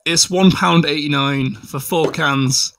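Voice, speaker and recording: A man's voice: fairly high pitch, fairly even intonation, takes it slowly, background noise. A young man from the north of England, a student, chatting in a laid-back, slangy, sweary way on a stream. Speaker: student guest. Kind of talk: casual chat on a live stream.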